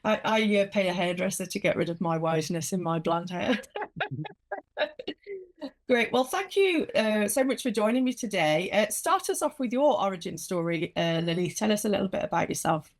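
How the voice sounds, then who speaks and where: A woman's voice: medium pitch, lively intonation, moderate pace. A woman hosting a podcast interview, chatty and upbeat, with frequent ums and easy laughter. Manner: warm, laughs often, many ums. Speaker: podcast host. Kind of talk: casual interview chat.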